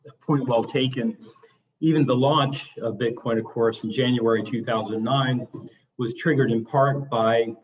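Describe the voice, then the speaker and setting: A man moderating a webinar, asking interview questions in smooth, measured phrasing. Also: medium pitch. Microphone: video call. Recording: dull lo-fi webinar audio.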